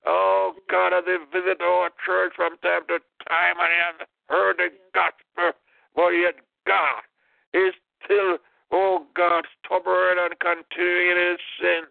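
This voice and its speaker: A man's voice: high voice, steady tone, measured pace, gravelly, no echo. A man leading a fervent intercessory prayer, impassioned, repeating "oh God" and "in the name of Jesus". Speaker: prayer leader. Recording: tinny phone-line prayer call.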